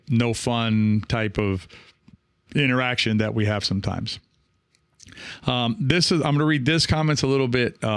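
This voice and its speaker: A man hosting a solo podcast in a reflective, halting way, often correcting himself and checking in with the listener. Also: fairly low pitch, clear, resonant tone, no room sound, studio quality. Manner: halting, self-correcting, often seeking agreement with "right?". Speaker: podcast host.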